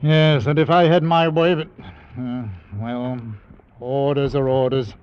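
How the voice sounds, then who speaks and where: A gruff, wary sea captain who speaks in clipped, no-nonsense phrases, voiced by a man in a vintage radio play. His voice has a middle pitch. The old recording dulls the sound. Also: steady tone, unhurried, dull audio, close to an everyday voice. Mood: brusque. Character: gruff male ship captain, cautious. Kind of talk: 1940s radio acting.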